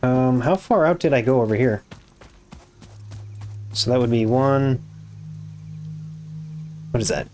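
A hoarse young man with a raspy voice, casually commenting on his game as he builds, muttering to himself and swearing when things go wrong. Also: medium pitch, animated, slow, long pauses, a little mellow, faint music behind. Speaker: gaming YouTuber. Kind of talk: casual let's-play commentary.

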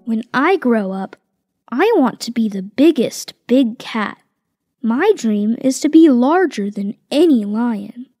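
A woman reading a children's picture book aloud, slow and expressive. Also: fairly high pitch, no room sound. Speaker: reader voicing tiger cubs.

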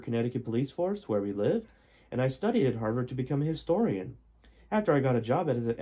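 A man reading as a husky, confessional adult son. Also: medium pitch, warm tone. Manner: earnest, explanatory storytelling. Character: adult son from alternate timeline, historian, confessional. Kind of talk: amateur audiobook-style reading.

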